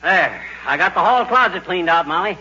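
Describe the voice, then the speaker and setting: An American actor playing a bumbling, blustering, folksy middle-aged husband in a 1940s radio comedy. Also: male voice, sits high, animated, moderate pace. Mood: eager. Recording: dull, noisy 1940s radio recording.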